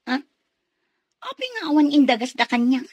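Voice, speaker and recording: An actress with a Filipino accent playing a nosy, expressive gossiping woman in a radio drama. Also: sits high, normal speed, dry recording. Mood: curious.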